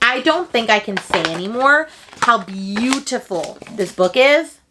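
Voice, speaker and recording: A woman's voice: on the high side, expressive, measured pace, background noise. A woman book vlogger chatting casually to camera in a gushing, emphatic, slangy way with dramatic exaggeration. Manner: slangy, informal with frequent "like" and "okay".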